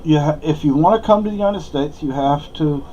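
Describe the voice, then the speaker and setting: A man's voice: fairly high pitch, a little fast, mellow, highs cut off, a little hiss or hum. A man from Spain, a native Spanish speaker, giving a rambling, opinionated monologue with many fillers and restarts. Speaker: vlogger.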